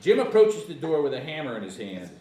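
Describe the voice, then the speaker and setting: Animated man narrating in an echoey room. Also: on the high side, a little fast. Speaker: narrator reading stage directions. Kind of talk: scripted narration at a screenplay table read. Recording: echoey room, distant mic, faint noise.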